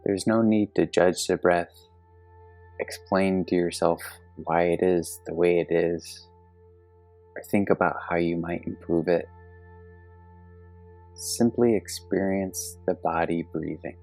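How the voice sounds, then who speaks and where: A man with a very low, slightly creaky voice guides a meditation in a calm, gentle manner. He gives measured instructions with long pauses between phrases, over soft background music. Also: poor audio. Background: soft meditation music with singing bowl tones.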